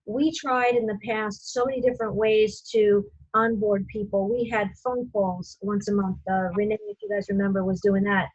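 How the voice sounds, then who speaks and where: A woman's voice: medium pitch, steady tone, normal speed, few pauses, husky, dry recording. A woman hosting a casual video call meeting, rambling with many fillers and restarts. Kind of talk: casual meeting host talk. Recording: muffled video call audio.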